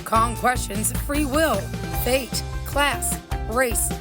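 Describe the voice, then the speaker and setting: A woman reporter narrating a feature story in a scripted, measured delivery. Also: fairly high pitch. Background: music.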